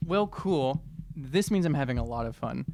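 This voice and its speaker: A man's voice: medium pitch, lively intonation, normal speed, a little mellow. A man who is a player in a tabletop game, chatting casually as the game goes on. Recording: noisy room recording with clicks.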